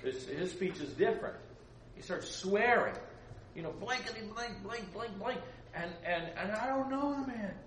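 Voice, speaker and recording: Animated preacher, echoey room. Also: male voice, high voice, moderate pace. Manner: acts out voices, laughs often, chatty asides. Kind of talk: animated storytelling sermon. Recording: roomy echo, distant mic, background noise.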